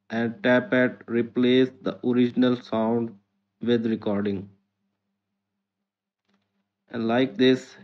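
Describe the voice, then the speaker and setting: A man hosting a tech tutorial, reading out scripted step-by-step instructions. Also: mid-range voice. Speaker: host of a tech tutorial channel. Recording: muffled, dull lo-fi audio.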